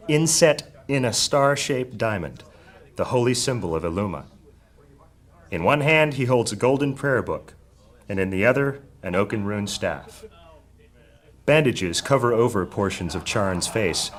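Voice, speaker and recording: A man narrating an epic fantasy audio drama as a solemn storyteller. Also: medium pitch.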